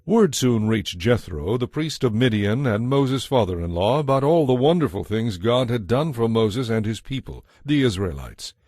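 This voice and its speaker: A man narrating a dramatized Bible from a script in a measured storytelling manner. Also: mid-range voice, big pitch swings, darkish tone, no room sound, clean studio sound.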